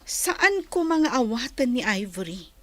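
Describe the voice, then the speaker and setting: A woman's voice: a little high, very animated, a little slow, fairly bright tone, dry recording. A woman acting as a nosy, gossiping office co-worker in an Ilocano radio drama, with an Ilocano accent.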